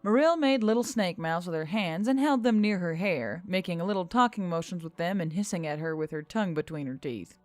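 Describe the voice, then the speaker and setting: Woman reading an audiobook story, really lively pitch, dry close sound, bit of music under. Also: brisk, few pauses, no echo. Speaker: audiobook narrator. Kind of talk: scripted fiction narration with character voices.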